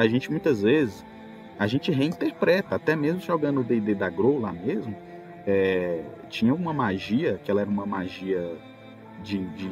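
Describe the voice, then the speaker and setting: Brazilian male, medium pitch, conversational podcaster who asks rhetorical questions, clear music bed underneath. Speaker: tabletop role-player, podcast speaker. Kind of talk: casual podcast chat.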